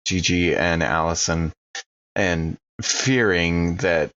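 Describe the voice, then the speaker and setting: A man's voice: low voice, steady tone, takes it slowly, fairly bright tone, highs cut off. A man playing in a tabletop role-playing game, talking casually in and out of character. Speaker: player.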